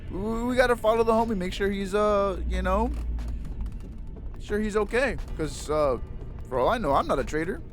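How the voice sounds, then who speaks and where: A man's voice: sits high, animated, moderate pace. A man giving casual, slangy, joking gameplay commentary as a let's play host. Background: game music.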